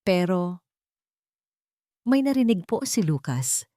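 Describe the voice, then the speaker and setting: An elderly Filipina housekeeper speaks with gentle, respectful firmness, played by a woman narrator. She is protective and earnest, in a dry-sounding audio drama. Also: lowish voice, big pitch swings, slow pace, dry recording. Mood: firm, earnest.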